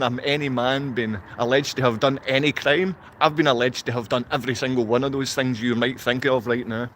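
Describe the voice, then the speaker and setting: A man's voice: medium pitch, fairly bright tone, a little hiss or hum. A man from Glasgow with a Glaswegian Scottish accent, talking unscripted to livestream viewers in a rambling, repetitive way full of rhetorical questions. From Glasgow, Scotland.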